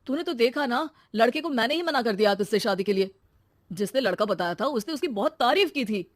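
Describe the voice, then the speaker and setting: A woman's voice: fairly high pitch, lively intonation, brisk, dry recording. A woman voicing an earnest, trusting young adult brother in a moral story, in a casual, sincere way. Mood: protesting. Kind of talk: acted moral-story dialogue.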